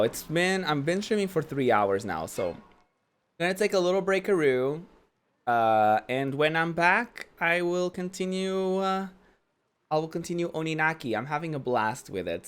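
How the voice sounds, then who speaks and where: A hoarse young man streaming a game, rambling casually and saying "like" a lot while he talks over the gameplay. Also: on the high side. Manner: chatty, rambling, says "like" a lot. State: hoarse, losing his voice. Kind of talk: casual let's-play commentary.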